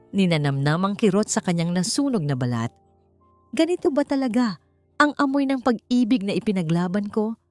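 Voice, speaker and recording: A woman's voice: lowish voice, darkish tone. A woman with a Filipino accent narrates a scripted dramatic story in an emotive, solemn way.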